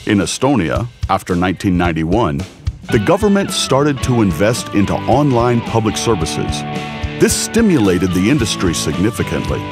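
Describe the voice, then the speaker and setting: Guy doing a documentary voice-over, lively pitch, guitar playing under it. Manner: measured, informative. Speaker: documentary narrator. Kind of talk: scripted narration. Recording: music bed under the voice. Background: guitar music.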